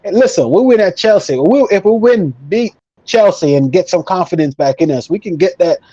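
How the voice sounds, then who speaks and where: Man, football fan panelist, mellow tone, dry muffled audio, emphatic casual talk. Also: high voice, animated, brisk, no echo. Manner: emphatic, repetitive, conversational.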